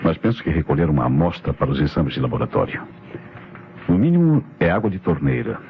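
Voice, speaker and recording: An actor playing a shrewd, methodical police inspector with a Brazilian accent, deliberate and authoritative in old radio mystery style. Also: male voice, a bass voice, big pitch swings, full character voice. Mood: explaining, probing. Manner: deliberate, reasoning aloud, authoritative. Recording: noisy, muffled old radio recording.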